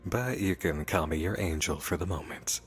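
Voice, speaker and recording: An actor playing a sly, playful demon in a visual novel, teasing, cryptic and theatrical. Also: male voice, deep voice, moderate pace, breathy edge. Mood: sly, composed. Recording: long reverb, music behind.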